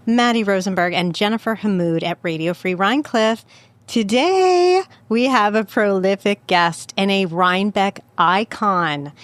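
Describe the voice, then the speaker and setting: A woman hosts a local radio talk show, bubbly and teasing. Her voice sits at a middle pitch, and she laughs easily between her lines. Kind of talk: casual banter and chat.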